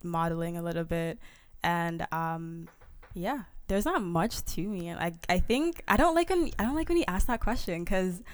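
A soft-spoken woman speaking as a guest on a podcast, hesitant and casual, with many fillers. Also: fairly low pitch, lively intonation, normal speed, smooth, no room sound.

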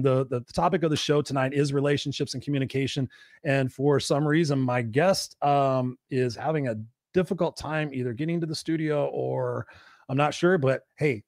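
Fast-talking upbeat male show host. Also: mid-range voice, dry recording, studio quality. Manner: rambling, upbeat, many fillers. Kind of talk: live online show hosting.

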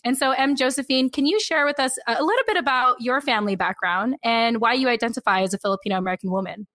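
A woman's voice: a little high, fast talker, a bit bright. Upbeat chatty woman podcast host. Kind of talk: podcast interview and host-read ad.

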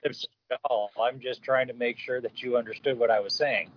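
A man chatting casually as a podcast panelist, hesitant, often pausing to rephrase. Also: mid-range voice, fairly even intonation, dull audio. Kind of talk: casual discussion.

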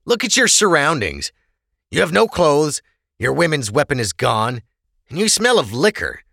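A man acting out harsh scornful jailers, scolding, gravelly, dry sound. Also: on the high side, big pitch swings, normal speed, pushed and bright, no echo, pitched above the performer's own voice, exaggerated voice. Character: stern, scornful male prison guards.

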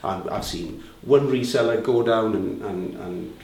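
A man's voice: on the low side, a little slow, a little mellow. A Welsh man heading a reseller group, answering interview questions in a conversational way with fillers and restarts. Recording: roomy, distant mic with faint ambience.

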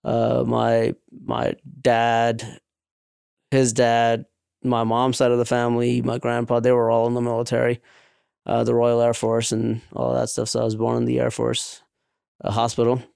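A man's voice: medium pitch, monotone, moderate pace, creaky, darkish tone, smooth, no echo, studio quality. A man from India who later lived in New York and grew up with South Indian languages, talking casually and explaining things in detail. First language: Malayalam and other South Indian languages. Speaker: guest. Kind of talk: casual conversational storytelling.

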